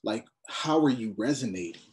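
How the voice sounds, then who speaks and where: A man speaking as a panelist in a reflective discussion, halting and searching for words with many restarts. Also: medium pitch, lively intonation.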